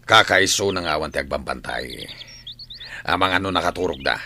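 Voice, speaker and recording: Gruff gang boss, forceful voice. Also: male voice, low voice, normal speed, dry recording, exaggerated voice. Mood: sly muttering. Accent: Ilocano. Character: gruff, bossy male leader of an armed village gang. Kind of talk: Ilocano radio drama acting.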